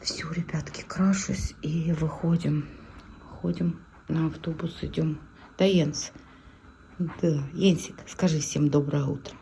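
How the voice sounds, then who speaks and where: A woman chats casually into her phone camera, talking to her viewers as she goes about her day. Her voice sits a little low. Steady background noise runs under the recording. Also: a little slow, gravelly. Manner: chatty, talks to viewers and her pet. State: scratchy throat, coming down with a cold. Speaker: vlogger. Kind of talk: casual vlog chat to camera. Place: at home indoors, then at an outdoor bus stop. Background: wind and passing traffic noise.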